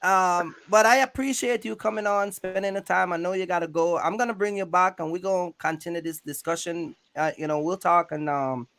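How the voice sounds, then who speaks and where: Male interviewer, notably high register with a fry-laden edge; loose, slangy, circles back on his points. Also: steady tone, brisk, hardly any pauses, a bit bright. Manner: rambling, repetitive, streetwise slang with frequent check-ins. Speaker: YouTube channel host interviewing a guest. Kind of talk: casual interview chat about trucking.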